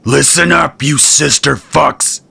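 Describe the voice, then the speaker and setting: An actor playing a gruff, domineering, foul-mouthed enforcer among war conscripts in an audio drama, barking orders. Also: male voice, a little high, takes it slowly, pushed and bright, heavily acted. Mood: bossy, menacing.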